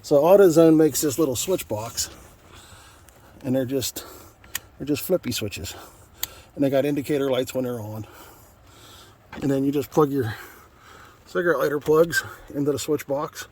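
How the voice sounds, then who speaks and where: American man, mid-pitched voice, casual vlogger walkthrough, folksy, rambling, noticeable background noise. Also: moderate pace, long pauses, dark tone. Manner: folksy, rambling, repeats himself. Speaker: vlogger showing his truck radio install. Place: outdoors in and around a parked truck. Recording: clear background noise, handheld outdoor video.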